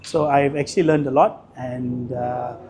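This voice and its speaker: A man's voice: medium pitch, steady tone, a little slow, a little mellow. A man from Malaysia, a surgical fellow, speaking hesitantly with many fillers.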